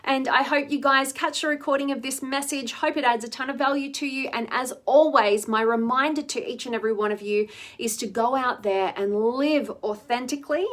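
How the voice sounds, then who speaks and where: Woman, higher voice, slightly hoarse, live-stream host, reflective. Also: a little fast, a bit bright. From Australia. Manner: reflective, often ends phrases with "right?". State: slightly hoarse from losing her voice. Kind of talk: live-stream talk reading viewer comments.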